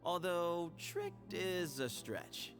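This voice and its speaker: An actor playing an easygoing, sly young desert man in a video game, playful and smooth-talking, with a teasing way of dodging. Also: high voice, wildly swooping pitch, drawn-out words, fairly bright tone, heavily acted. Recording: game dialogue over faint background music.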